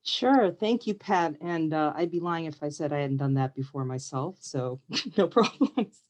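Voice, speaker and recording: A woman's voice: sits low, lively intonation, measured pace, a little mellow. A woman professor speaking on a panel over a video call, friendly and reflective with some fillers. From United States. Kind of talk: panel discussion.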